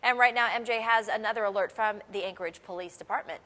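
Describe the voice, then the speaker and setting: A woman's voice: mid-range voice, quick pace, sharp tone. Reverberant American woman anchoring morning news. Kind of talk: broadcast news delivery, scripted.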